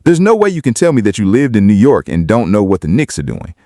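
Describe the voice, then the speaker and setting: A man's voice: fairly low pitch, huge pitch swings, fast talker, dark tone, dry recording, clean studio sound. A man giving opinionated video commentary in an emphatic, argumentative way. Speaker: video commentator on hip-hop.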